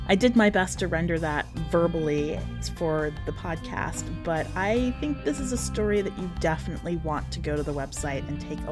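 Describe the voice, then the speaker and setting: A woman hosting a podcast, giving informal commentary and talking directly to listeners in a conversational way. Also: low-pitched. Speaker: podcast host and editor.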